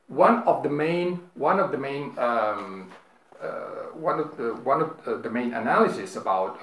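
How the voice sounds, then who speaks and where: A man speaking as a panelist, halting with frequent restarts and "right?" tags. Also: medium pitch, fairly bright tone. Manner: halting, many restarts and tag questions. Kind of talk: panel talk on politics. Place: lecture room. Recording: echoey room, faint noise.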